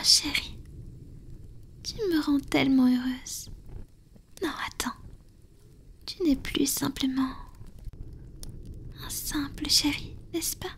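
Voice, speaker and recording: Woman as whispering, high-voiced ancient vampire lover. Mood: tender, moved. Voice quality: soft, breathy whisper. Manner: slow, intimate, halting with pauses. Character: ancient powerful female vampire, tender lover, wise and hesitant. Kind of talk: whispered French ASMR romance roleplay.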